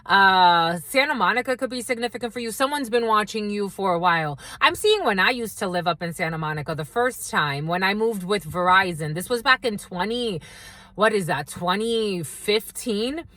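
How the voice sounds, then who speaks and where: A woman's voice: mid-range voice, expressive, normal speed, sharp tone, no room sound. A woman doing a channeled tarot reading, rambling from one intuitive impression to the next and speaking straight to her viewers. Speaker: tarot reader.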